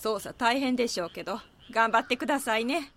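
A woman's voice: a little high, a little fast, fairly bright tone. An actress playing a friendly, sympathetic female neighbour in an audio drama. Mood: kindly.

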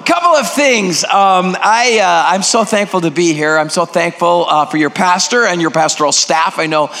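Loud, energetic older man preaching. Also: high-pitched, normal speed. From Greek heritage. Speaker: guest preacher. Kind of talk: energetic sermon with jokes. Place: large church sanctuary. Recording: long reverb of a church hall.

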